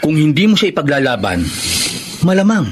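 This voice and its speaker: A man's voice: fairly high pitch, sing-song, fairly quick, a little mellow. An actor playing a loyal, indignant male friend in a Tagalog radio drama, urgent and earnest, with a Filipino accent. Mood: concerned, indignant. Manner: earnest, urging. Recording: noisy radio drama recording.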